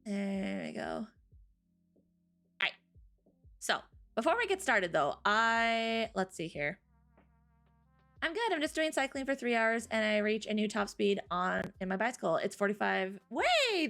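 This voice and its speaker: A bubbly woman hosting a morning live stream, her voice a touch high and swinging widely up and down. She laughs easily and chats casually while still waking up. Faint music plays underneath. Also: sing-song, unhurried, fairly bright tone. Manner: giggly, bubbly, laughs often. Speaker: streamer host.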